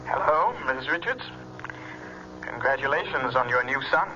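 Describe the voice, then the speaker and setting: An actor playing a sinister, coolly insistent caller in an old radio drama, measured, heard as a telephone voice. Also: male voice, medium pitch, expressive, bright, forceful tone. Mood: smooth menace. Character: sinister, coolly insistent man calling in a bargain. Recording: muffled old radio recording, faint noise.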